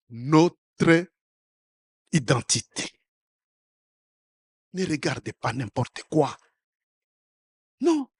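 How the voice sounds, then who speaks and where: A man preaching a sermon as pastor, with a first language other than French, who repeats and restarts his words and rises to loud exhortation before dropping quiet again. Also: high-pitched, dry recording, clean studio sound. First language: another African language.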